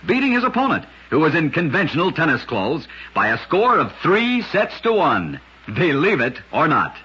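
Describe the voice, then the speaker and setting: A man narrating short scripted stories in an old-time radio style, with an emphatic showman delivery and a husky voice. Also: fairly high pitch, huge pitch swings, moderate pace, pushed and bright, slight background noise. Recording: dull, lo-fi old radio recording.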